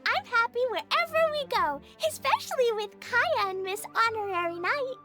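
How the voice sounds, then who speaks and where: A woman's voice: very high-pitched, big pitch swings, moderate pace, pushed and bright, soft background music, exaggerated voice. A woman voicing an innocent, eager little girl who speaks in an earnest, childish way in an anime game. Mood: cheerful.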